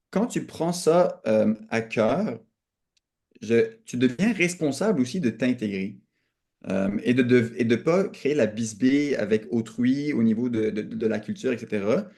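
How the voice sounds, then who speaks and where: Young male podcast guest, Quebec French accent. Soft-edged, warm tone, earnest and reflective conversational delivery. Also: medium pitch, lively intonation, a little slow, vocal fry. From Canada. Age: young adult.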